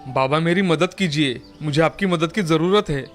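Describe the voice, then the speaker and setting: A man's voice: fairly high pitch, moderate pace, faint music behind, lightly acted. Man as earnest young deer brother, lightish voice. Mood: pleading. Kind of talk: dramatised children's fairy tale acting.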